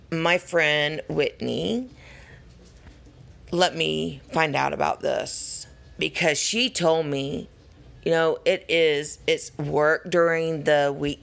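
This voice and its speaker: Raspy woman vlogger, unhurried and earnest, gravelly fry, encouraging tone. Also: deep voice, a bit bright. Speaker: pageant contestant. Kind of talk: casual vlog talk to camera.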